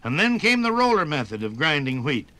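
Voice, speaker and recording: Animated 1950s radio documentary narrator, male. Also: on the high side, very noisy. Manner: emphatic, measured phrasing. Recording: 1950s radio broadcast on vinyl record.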